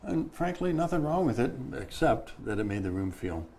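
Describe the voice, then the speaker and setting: A man's voice: mid-range voice, very animated, normal speed, a little mellow, a little hiss or hum. A man in his fifties, a painting contractor and guest in a casual studio interview, talking plainly about his projects. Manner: plain-spoken, practical, explains with hand gestures. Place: bright studio set at a table.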